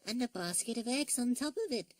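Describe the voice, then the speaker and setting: Sweet little storybook toy girl, voiced by a woman, high, girlish, gleeful. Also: lively intonation, a little fast, no room sound, close to an everyday voice. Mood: breathless confessing.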